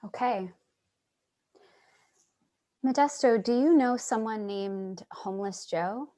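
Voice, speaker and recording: A woman's voice: on the high side, slow pace, warm tone. A woman acting a compassionate, earnest writer who listens and narrates in a staged play reading. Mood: gentle, sympathetic. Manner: earnest, sympathetic. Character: compassionate, earnest writer interviewing homeless people, narrating to audience. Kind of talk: dramatic dialogue and monologue in a staged play reading. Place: online video call reading.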